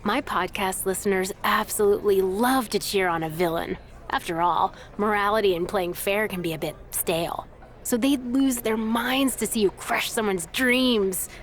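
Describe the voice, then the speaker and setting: A mischievous female podcaster in a video game story mode voiced by a woman. She is chatty and relishes cruelty, speaking at an average pitch. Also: unhurried, lightly acted. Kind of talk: scripted video game cutscene dialogue.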